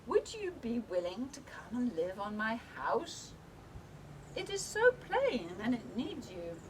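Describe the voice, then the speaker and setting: A woman voicing a polite, gentle hermit crab who speaks slowly and admiringly in a children's storybook. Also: fairly high pitch, wildly swooping pitch, far from the mic, noisy background, voice pushed up, full character voice. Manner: courteous, admiring, slow deliberate phrasing. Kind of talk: children's picture book read-aloud.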